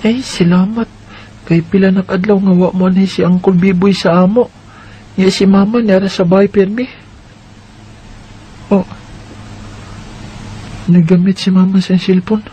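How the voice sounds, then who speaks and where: A man's voice: sits high, little pitch movement, a little slow, long pauses, warm tone, slight background noise, rough recording. A man playing an eleven-year-old boy who narrates his own story in a radio drama, earnest and observant. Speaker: narrator and main character.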